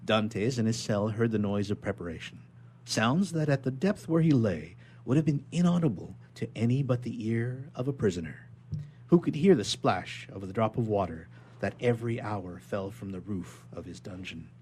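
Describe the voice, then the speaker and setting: A man's voice: on the low side, animated, moderate pace, a little mellow, a little hiss or hum. A man appearing as a radio guest, chatting informally and reading literary prose aloud. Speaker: guest reader on a radio show.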